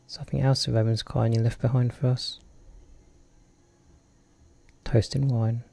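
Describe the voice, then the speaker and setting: Guy with a cold doing chatty let's play commentary, mellow gravelly voice. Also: mid-range voice, little pitch movement, a little slow. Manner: jokey, chatty, reads on-screen text aloud. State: has a cold. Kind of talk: casual gameplay commentary.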